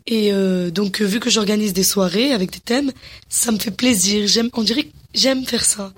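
A young woman from Morocco answering interview questions eagerly, often pausing and restarting her sentences. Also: medium pitch, slightly rough. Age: young adult. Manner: enthusiastic, many hesitations and restarts.

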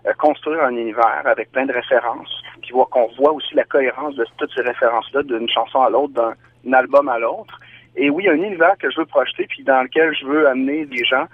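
A man from Quebec with a Québécois accent, a singer-songwriter guest giving thoughtful, measured answers in an interview. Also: medium pitch, dry recording. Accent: Québécois French. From Quebec, Canada. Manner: thoughtful, measured, explaining ideas at length. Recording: tinny dry phone line.

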